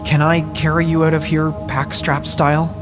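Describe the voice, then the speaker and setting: A man's voice: fairly high pitch, flat delivery, moderate pace, over music, pitched above the performer's own voice, natural voice. A man playing an earnest older teenage scout taking charge in a horror story, urgent and reassuring. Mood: anxious, resolute.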